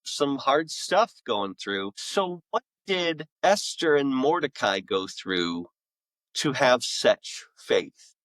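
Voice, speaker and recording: A man's voice: medium pitch, very animated, slow, fairly bright tone, no echo, rough recording. Middle-aged man, mid-range voice, podcast guest. Candid, self-effacing, conversational, recorded dry.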